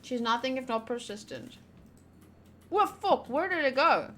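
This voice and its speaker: Chatty young woman streamer, bright voice. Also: sits high, lively intonation, unhurried. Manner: chatty, playful, rambling asides. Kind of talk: casual game playthrough commentary, reading dialogue aloud.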